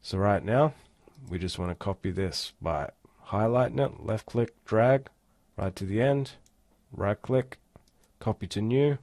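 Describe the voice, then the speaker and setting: A man giving a casual, step-by-step software walkthrough as an instructor. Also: fairly low pitch, a little slow, no room sound. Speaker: tutorial instructor. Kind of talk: screen-recorded software tutorial, casual walkthrough.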